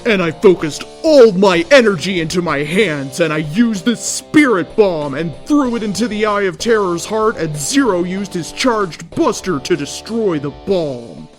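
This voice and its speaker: A man's voice: high-pitched, expressive, unhurried, hardly any pauses, faint music behind. A man reading fan fiction aloud as narrator, in a theatrical, mock-earnest comic style. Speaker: story reader.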